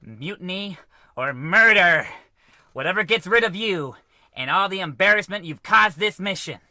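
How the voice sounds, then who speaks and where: Sharp, high-voiced mutinous space officer played by a man. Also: lively intonation, normal speed, no room sound, exaggerated voice. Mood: menacing. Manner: haughty, menacing, melodramatic. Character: indignant, scornful mutinous male space officer. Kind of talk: old-time radio comedy acting.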